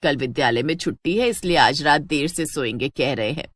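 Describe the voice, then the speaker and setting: A woman voicing an elderly, affectionate village mother-in-law in a homely, plain-spoken way for an animated story. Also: deep voice, fairly quick, dry recording, clean studio sound, voice pushed down. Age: older. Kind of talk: animated Hindi storybook acting.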